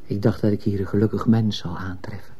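Very dark-voiced, husky, gentle priest. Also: male voice, on the low side, fairly even intonation, fairly quick. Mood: gentle, probing. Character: mild, probing male priest in plain clothes. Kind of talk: Dutch radio play acting.

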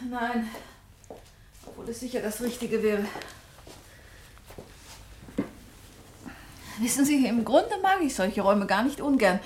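A woman's voice: a little high, lively intonation, moderate pace, a little mellow, slight background noise, close to an everyday voice. An actress playing a composed, direct woman novelist in a German radio drama, articulate and dryly matter-of-fact. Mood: dryly conversational.

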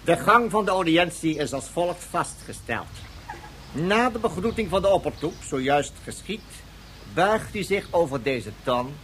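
A man's voice: on the high side, sing-song, unhurried, fairly bright tone, a little hiss or hum. A man playing a pedantic, obedient court official in a science fiction radio play, speaking formally and ceremoniously. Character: pedantic, obedient male court official, explaining strict protocol.

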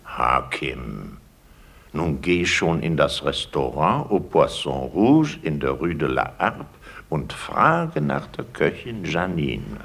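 An actor playing the commanding voice of the Prophet in a 1950s radio drama, giving short orders and repeating them patiently. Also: male voice, big pitch swings, slow, fairly bright tone, exaggerated voice. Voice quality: deep gravelly husky. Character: commanding old male voice of the Prophet.

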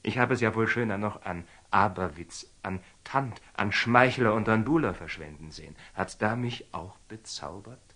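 An actor playing a proud, hot-headed knight in love, in an agitated, self-questioning monologue from a classical radio drama. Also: male voice, on the low side, moderate pace, hardly any pauses, a bit bright. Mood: brooding, self-doubting.

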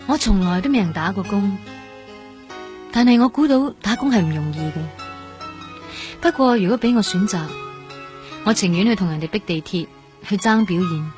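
A woman's voice: medium pitch, darkish tone, lightly acted. An actress playing a frail young woman patient in a radio drama, speaking Hong Kong Cantonese with an earnest, stubbornly independent manner. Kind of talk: radio drama acting and first-person narration.

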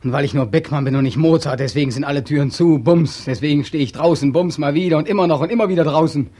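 An actor playing a despairing, homeless soldier back from war, emotional and pleading, in 1940s radio drama style. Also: male voice, a little high, fast talker, dark tone, a little hiss or hum, close to an everyday voice. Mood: bitter, anguished. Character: despairing returned soldier, homeless, anguished and naive. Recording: dry 1940s radio recording.

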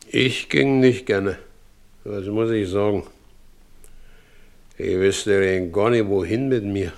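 An actor in a German radio play voicing a humble, troubled middle-aged widowed carriage driver, plain-spoken and halting. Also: male voice, fairly low pitch, a little mellow. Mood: heavy, grieving.

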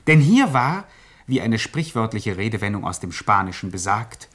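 An actor playing a wry, mock-serious narrator who addresses listeners floridly in a satirical gangster radio play. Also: male voice, lowish voice, very animated, moderate pace, a bit bright.

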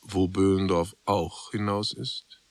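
A man's voice: low voice, level tone, slow pace. A man narrating a literary radio drama in German, in a scripted storytelling style.

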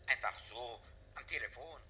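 An actor playing a frightened, guarded old professor on the telephone in a German radio drama, speaking in a wary hush. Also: male voice, mid-range voice, normal speed. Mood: suspicious, fearful. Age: older. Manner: wary, evasive, hushed.

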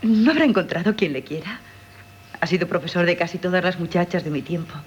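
A woman's voice: fairly low pitch, fairly quick, lo-fi sound, lightly acted. An actress playing a modest, curious young woman in a Castilian Spanish radio drama, demure and full of questions. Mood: polite, subdued. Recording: noisy 1970s radio broadcast.

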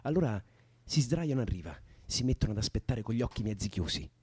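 A man's voice: fairly low pitch, lively intonation, brisk, darkish tone, slightly rough. An actor playing a young man in a radio drama, soothing a distressed woman with colloquial, improvised-sounding stories. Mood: absorbed storytelling. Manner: coaxing, colloquial, imaginative. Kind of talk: Italian radio drama acting.

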